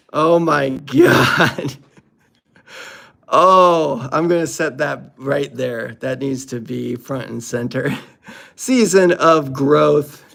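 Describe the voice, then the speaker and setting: Unhurried man chatting casually, slightly gravelly. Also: a little high, fairly bright tone. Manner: playful, jokey, exclamatory. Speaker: host opening card packs. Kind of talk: casual unboxing commentary.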